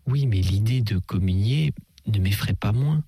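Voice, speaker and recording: A man's voice: fairly low pitch, lively intonation, unhurried, mellow, breathy edge, no room sound, full character voice. A man reading an audiobook, voicing an anxious, doubting middle-aged man who speaks hesitantly. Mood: uneasy. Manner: hesitant, troubled.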